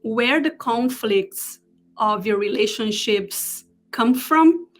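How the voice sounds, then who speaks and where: Brazilian-accented woman, 40s, holistic therapist; unhurried, earnest delivery, slightly high voice. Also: lively intonation, soft background music. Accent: Brazilian Portuguese. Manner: warm, earnest, checking notes with pauses. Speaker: holistic therapist presenting a talk. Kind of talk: live online presentation.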